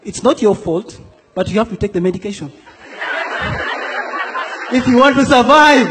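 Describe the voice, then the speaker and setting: A young man from South Sudan works a comedy stage, playfully acting out the people in his stories. His voice sits very high for a man, and it rings with the long echo of a big hall. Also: animated, normal speed, background noise. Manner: playful, acts out quoted characters. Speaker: stand-up comedian. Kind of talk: stand-up comedy set. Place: comedy stage in a large hall before a laughing audience.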